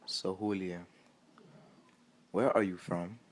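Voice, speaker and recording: A man acting as the interviewer in a casual mock interview, asking halting questions with pauses and restarts. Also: low voice, fairly even intonation. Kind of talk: casual school role-play interview.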